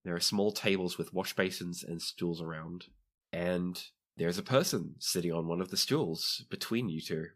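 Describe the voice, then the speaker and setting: Low-voiced man narrating as game master. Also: moderate pace. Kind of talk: narrating a tabletop roleplaying game.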